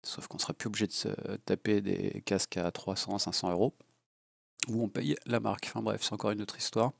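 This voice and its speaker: A man's voice: lowish voice, fairly even intonation, brisk, gravelly, no echo, studio quality. A man with a cold, rambling bluntly and crudely through a casual drone gear review, with lots of fillers. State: has a cold. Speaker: FPV drone hobbyist reviewer. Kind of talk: casual unscripted product review. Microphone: low-level home mic.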